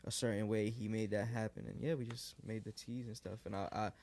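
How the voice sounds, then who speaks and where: A young man in his twenties from New York, a guest rapper chatting casually in a podcast interview, relaxed and loose with lots of fillers. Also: fairly low pitch, brisk, vocal fry. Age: 20s. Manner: relaxed, lots of likes and restarts. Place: living room podcast set, indoors. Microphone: boom studio mic.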